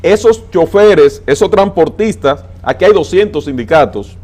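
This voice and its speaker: A man with a Dominican accent, a transport adviser on a TV interview, laying out plans with numbers and slowing down to stress his points. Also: a little high, lively intonation, a little mellow. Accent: Dominican Spanish. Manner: emphatic, explains with figures, slows down to stress points. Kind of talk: interview on a TV talk show.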